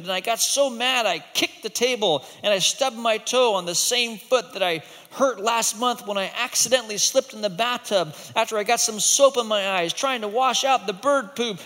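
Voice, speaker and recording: A man's voice: high-pitched, pushed and bright, long reverb tail, pitched above the performer's own voice. A man voicing an upset little boy who rattles off his troubles in a whiny, breathless run.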